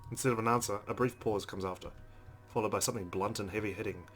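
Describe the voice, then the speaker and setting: A man's voice: fairly low pitch, fairly even intonation, fast talker, a bit bright, faint music behind. A man playing a visual novel, reading its narration aloud and dropping in casual asides as a let's player. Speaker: let's play gamer.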